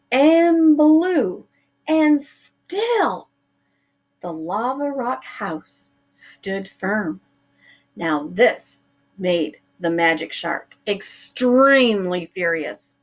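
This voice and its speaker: Middle-aged female teacher, slow expressive read-aloud voice, slightly high. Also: poor audio. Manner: expressive, drawing out words for effect. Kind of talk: reading a picture book aloud to pupils.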